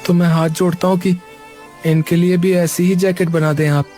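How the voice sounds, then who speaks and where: A man's voice: high-pitched, flat delivery, normal speed, warm tone, soft background music, close to an everyday voice. A man voicing a humble, polite young laborer in a cartoon. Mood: pleading. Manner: polite, pleading. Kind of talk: cartoon voice acting.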